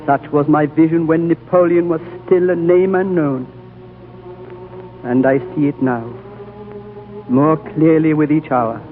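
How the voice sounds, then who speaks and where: An actor playing an ancient, wise high lama in a 1930s radio drama, speaking slowly and gravely on a thin, old broadcast recording. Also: male voice, steady tone, close to an everyday voice. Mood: solemn. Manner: slow, grave, prophetic. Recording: old phone-like radio broadcast, faint music.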